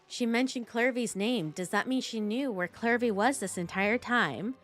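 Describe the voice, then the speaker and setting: A woman's voice: fairly high pitch, moderate pace, a bit bright, faint music behind. A woman game streamer giving casual, playful, gushing live reaction commentary.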